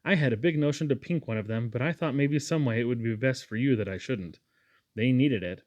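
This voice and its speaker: A man's voice: mid-range voice, brisk, a little mellow, lo-fi sound, lightly acted. Man reading as an eager, sweet young woman. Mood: lively, gushing. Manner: gushing, girlish exclamations.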